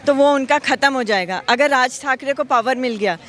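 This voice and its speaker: A woman's voice: sits high, moderate pace, fairly bright tone. A woman interviewee assertively giving her opinion in a street interview.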